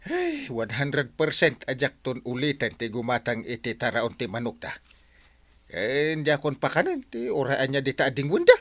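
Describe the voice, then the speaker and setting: A man acts out a grumbling male village captain in an Ilocano comedy drama. His pitch leaps around in animated complaints, with an Ilocano Filipino accent. The sound is dry and middling in pitch. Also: normal speed, no echo. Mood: indignant. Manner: colloquial, exclaiming, complaining. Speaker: lead actor in comedy drama.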